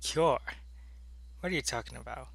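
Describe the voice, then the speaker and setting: A man playing a devoted alien soldier in an ASMR audio roleplay, with a gravelly, earnest and deliberate delivery. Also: medium pitch, fairly bright tone, no echo. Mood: demanding, hurt. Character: devoted alien soldier, gruff in battle, tender with his lover.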